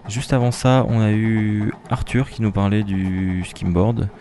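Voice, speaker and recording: Low-voiced man back-announcing radio tracks. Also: measured pace, faint music behind. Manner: relaxed and hesitant, with fillers and restarts. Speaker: radio host. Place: bar toilets in the suburbs of Brussels. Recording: noisy ambience from a bar's toilets behind the voice.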